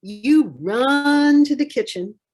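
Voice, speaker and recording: Storybook reader: woman, slow and deliberate, animated intonation, expressive phrasing. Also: high voice. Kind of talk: expressive picture-book read-aloud.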